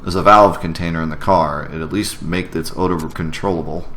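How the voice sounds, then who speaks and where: A man's voice: low-pitched, fairly even intonation, a little fast, a bit bright, slight background noise. A man voicing a sarcastic, complaining male technician in a story game. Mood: grumbling. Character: wisecracking, grumbling male memory technician on the job.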